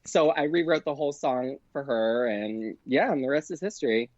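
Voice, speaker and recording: A man chatting casually as a podcast guest, telling stories in an animated way and acting out what people said. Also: mid-range voice, moderate pace, dry recording, dull audio. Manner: animated, acts out quoted exchanges. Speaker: podcast guest, performer and producer.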